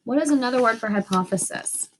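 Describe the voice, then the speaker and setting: A woman teacher reading a storybook aloud to children, expressive and instructive, pausing to ask questions. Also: a little high, a little hiss or hum, rough recording.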